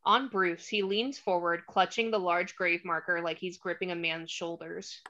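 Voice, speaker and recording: A woman narrating screenplay stage directions in a crisp, dramatic scene-setting manner. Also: fairly low pitch, steady tone, normal speed, sharp tone, lo-fi sound.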